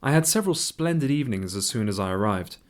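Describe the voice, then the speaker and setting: Man playing a courteous, lowish-voiced gentleman. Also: animated, quick pace, close to an everyday voice. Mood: courteous, composed. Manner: courteous, formal. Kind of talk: scripted radio play, formal letter reading. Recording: studio radio play with music behind. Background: music.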